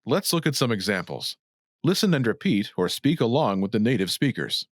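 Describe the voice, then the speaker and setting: A man hosting a language lesson, reading scripted instructions in a clear, didactic way. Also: mid-range voice, very animated, normal speed, background noise.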